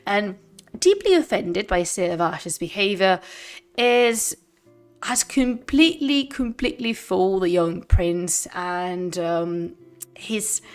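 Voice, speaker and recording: Animated Spanish-speaking woman hosting a stream. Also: medium pitch, clear, resonant tone. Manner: lively, laughing, drops to whispers. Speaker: host. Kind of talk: casual livestream chat before a reading.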